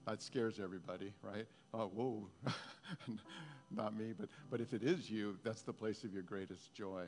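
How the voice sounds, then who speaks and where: A pastor leading a prophetic prayer time, speaking softly and haltingly, repeating his words. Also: fairly low pitch. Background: guitar music.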